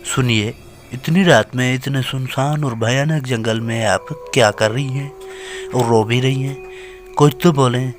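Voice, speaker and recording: A man's voice: mid-range voice, unhurried, faint music behind, close to an everyday voice. A man playing a kindly, earnest young villager who speaks politely and with concern in a horror story. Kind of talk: dramatised Hindi horror story acting.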